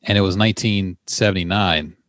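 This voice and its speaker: A man in his forties with a lowish voice, recorded dry and close. He tells a story in a relaxed way and swears casually. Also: a little fast, no echo. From Iran. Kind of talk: casual chat.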